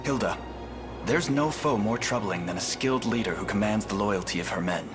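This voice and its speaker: A noble young male army leader voiced by an actor from a fantasy game. He speaks formally and earnestly, with steady resolve. Prominent music runs behind the dialogue. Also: lowish voice, fairly quick, close to an everyday voice. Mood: grave, firm. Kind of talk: dramatic fantasy game voice acting. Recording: loud orchestral music behind.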